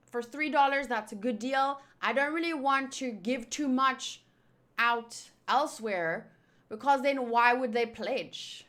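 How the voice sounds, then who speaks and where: A woman's voice: on the high side, a bit bright. A young woman whose first language is Afrikaans, chatting casually on a live stream with lots of fillers and restarts. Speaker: streamer answering chat.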